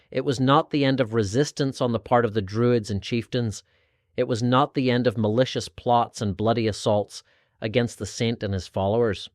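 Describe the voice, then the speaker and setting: A man narrating a scripted historical religious article in a formal, measured, oratorical way. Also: mid-range voice, no room sound, clean studio sound. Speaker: narrator reading an article aloud.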